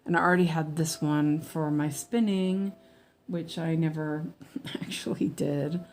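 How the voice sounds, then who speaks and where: A woman's voice: low voice, fairly even intonation, unhurried, darkish tone. An American woman chatting in a rambling, meandering way with her viewers as she stitches during a casual livestream.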